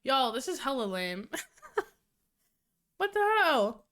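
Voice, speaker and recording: A woman's voice: high-pitched, big pitch swings, slow, fairly bright tone. A young adult woman streaming, chatty and profane, who sounds nasal and congested from a cold. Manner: chatty, profane, laughs often. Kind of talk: casual live-stream chat.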